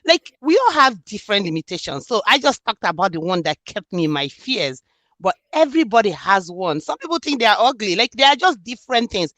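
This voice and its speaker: A woman's voice: mid-range voice, sing-song, brisk, a bit bright, no room sound. A woman from Nigeria with a Nigerian accent, speaking earnestly and reflectively in an interview about her book. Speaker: author and student. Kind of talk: interview conversation.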